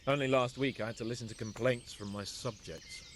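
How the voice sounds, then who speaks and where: A man's voice: medium pitch, fairly even intonation, brisk, slight background noise, natural voice. An actor playing an imperious, teasing young nobleman with a British accent in a video game cutscene. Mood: haughty, matter-of-fact. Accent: British English. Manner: imperious, mocking, aristocratic. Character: haughty, teasing young nobleman, not yet of age.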